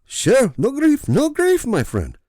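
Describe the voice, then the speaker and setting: A bellowing excitable driver. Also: male voice, takes it slowly, dry recording, studio quality, full character voice. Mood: panicked. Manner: bellowing, excitable, high strained voice. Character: loud excitable middle-aged male van driver.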